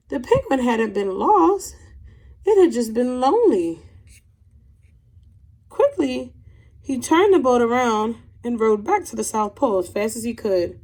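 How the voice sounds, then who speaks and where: A woman's voice: on the high side, darkish tone. A woman teacher speaking expressively to young pupils, as in a read-aloud of a children's storybook. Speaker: teacher reading a picture book aloud to children.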